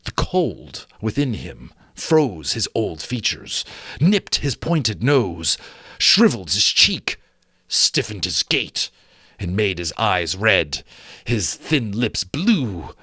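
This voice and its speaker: A man narrates a storybook in a dramatic, theatrical style. His pitch swings widely as he leans on key words and pauses for effect. The sound is dry, with very little room to it. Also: very animated, pushed and bright, slightly rough, dry recording. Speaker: audiobook reader.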